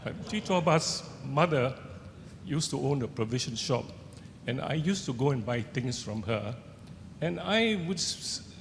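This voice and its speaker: An older man with a Singaporean accent, an author telling personal anecdotes to an audience in a halting way. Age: older, seventies or above. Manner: halting, searching for words. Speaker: author on a festival panel. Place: large indoor event hall with seated audience. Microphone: handheld microphone. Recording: echoey hall, rumbling background noise.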